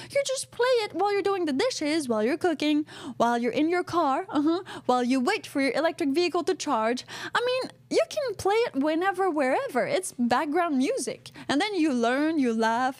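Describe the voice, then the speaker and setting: A woman's voice: very high-pitched, no echo. A woman from Quebec with a Quebecois accent, co-hosting a casual live podcast, rambling and joking as she reads out chat comments. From Quebec, Canada. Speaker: podcast co-host.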